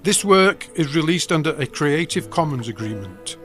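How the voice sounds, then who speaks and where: A man's voice: a little high, expressive, moderate pace, a bit bright, faint music behind. A man giving scripted narration as the narrator of an audio drama.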